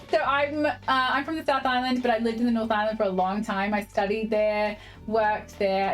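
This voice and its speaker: Cheerful Kiwi woman, young, hosting a relaxed craft stream; bright sing-song greetings over quiet background music. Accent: New Zealand English. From New Zealand. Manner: bubbly, laughs often, greets chatters in a high sing-song. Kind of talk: casual live-stream chat while crafting.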